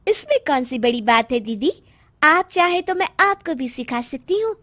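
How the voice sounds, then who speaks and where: A woman's voice: sits high, sing-song, moderate pace, a bit bright. A woman voicing a scheming young daughter-in-law with a sly, whiny way of talking. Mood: pleading.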